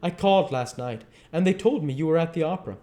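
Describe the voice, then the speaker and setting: A man voicing a grave, heartbroken gentleman, formal and pleading, from a classic novel. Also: a little high, expressive, fast talker, pitched above the performer's own voice, natural voice. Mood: distressed, earnest. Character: grave, heartbroken gentleman friend, earnest and reproachful.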